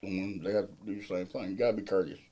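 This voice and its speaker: A middle-aged man with a low, deep voice talks about his work in a loud, plainspoken way. His grammar is loose and folksy, and his tone stays mellow and relaxed throughout. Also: quick pace. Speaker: field crew general foreman. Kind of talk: casual interview answers.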